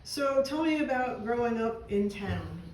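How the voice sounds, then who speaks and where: A man's voice: very high-pitched, expressive, a little slow, echoey room, poor audio. A man conducting an oral history interview, working through prepared questions with short prompts.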